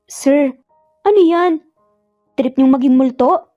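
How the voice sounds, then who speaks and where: Audio-story nanny, played by a woman: cheeky, exclamatory, teasing, lifted pitch, Filipino accent, faint music behind. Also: slow, dull audio. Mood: startled, indignant. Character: cheeky, teasing young nanny talking back to boss.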